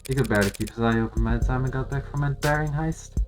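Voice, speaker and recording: A man's voice: mid-range voice, fast talker, lightly acted. A man voicing a guarded, sardonic lawyer in a game, rambling drily through old memories. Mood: wry reminiscing. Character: wry, cynical, guarded lawyer telling old stories. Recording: music behind the voice.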